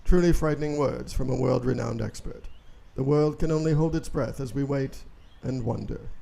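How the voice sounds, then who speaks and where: Self-serious anchor acted by a man for spoof news: gravelly, medium pitch, straight-faced and grave. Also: moderate pace. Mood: grave, ominous. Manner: deadpan, grave newsreader delivery. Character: earnest, self-serious male TV-style news reporter and anchor. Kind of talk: parody news broadcast.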